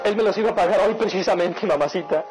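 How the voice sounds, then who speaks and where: An actor playing a desperate, ashamed young son in a radionovela, pleading in anguish. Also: male voice, sits high, quick pace, darkish tone, lightly acted. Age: young adult. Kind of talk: radionovela melodrama acting. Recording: radio drama over background music.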